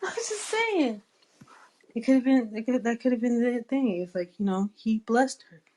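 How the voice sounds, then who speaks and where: A woman's voice: on the high side, expressive, normal speed, warm tone. A woman chatting casually as a podcast co-host, easygoing and colloquial in the way she talks. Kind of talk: casual chat.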